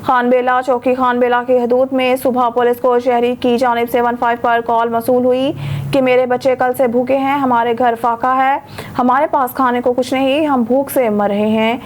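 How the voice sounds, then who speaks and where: A woman's voice: on the high side, flat delivery, moderate pace, slight background noise. A woman reading a scripted news bulletin, formal, going steadily through long lists of names. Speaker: news reader.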